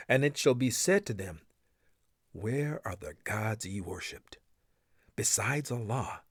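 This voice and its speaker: A man reading scripture aloud in English in a solemn, measured and deliberate way, with dramatic phrasing. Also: mid-range voice, darkish tone, no room sound, clean studio sound. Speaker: reader of a scripture translation.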